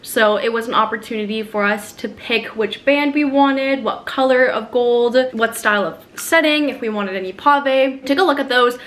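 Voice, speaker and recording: Young adult woman, lifestyle vlogger; upbeat, chatty, casual to-camera delivery, slightly high voice. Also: normal speed, few pauses. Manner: chatty, upbeat, talks to camera with soft fillers. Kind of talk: casual vlog chat while doing makeup. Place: at home in front of a mirror.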